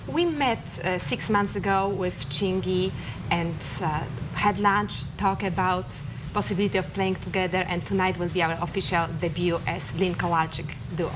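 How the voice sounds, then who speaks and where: A woman pianist from Poland, calmly explaining a recital program as a TV studio guest, with slightly phrasing. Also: lowish voice, normal speed, background noise.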